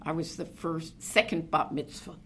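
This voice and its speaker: A woman's voice: low voice, moderate pace, fairly bright tone, slight background noise. An older woman from New Jersey, reminiscing in an oral history interview, telling meandering, reflective stories full of fillers. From New Jersey, USA. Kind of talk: reminiscing conversation.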